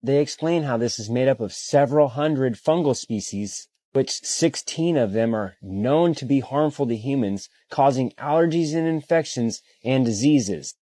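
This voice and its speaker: Male short-video creator, 30s, mellow and dry, assertive. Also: mid-range voice, no room sound. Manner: assertive, warning. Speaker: social media creator. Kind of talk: commentary.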